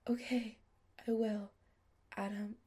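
A woman's voice: medium pitch, little pitch movement, slow, warm tone, husky. A woman voicing a needy young man who pleads in a breathless, whispery voice. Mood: needy, pleading.

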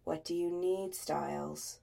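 Young male pack leader read by a woman: gruff, abrupt, pitched down, measured storybook character. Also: expressive, heavily acted. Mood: curt.

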